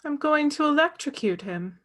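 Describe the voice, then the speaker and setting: A woman playing a solemn, morbid young girl, deadpan, in a comedy script table read. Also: sits high, moderate pace. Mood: solemn, insistent.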